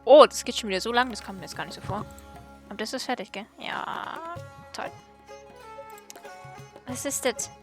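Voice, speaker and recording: A woman's voice: on the high side, animated, moderate pace, pauses often, music in the background. A German-speaking woman giving casual let's play commentary, reacting informally in short asides.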